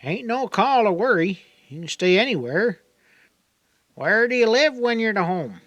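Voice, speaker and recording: A man's voice: high-pitched, very animated, a little slow, a bit bright, no echo, dull audio, pitched above the performer's own voice, full character voice. A man voicing a talkative, naive young backwoods native in an audiobook, with a rural Southern accent and a folksy, rambling drawl. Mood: reassuring. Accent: rural Southern hillbilly. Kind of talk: audiobook character dialogue.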